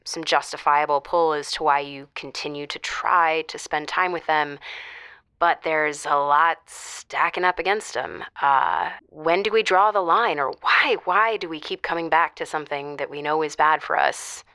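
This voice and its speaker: A woman's voice: deep voice, normal speed, bright, forceful tone, smooth, no echo. A woman playing a blunt, needling caller. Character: pushy, teasing caller challenging the host. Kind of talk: improvised audio drama.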